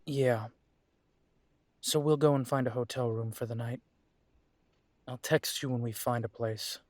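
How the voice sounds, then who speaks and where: A man voicing a young adult male werewolf, an estranged son who is defensive and firm, then quiet and conciliatory. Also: mid-range voice, fairly even intonation, a little slow, no room sound, lightly acted. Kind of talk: audio drama acting.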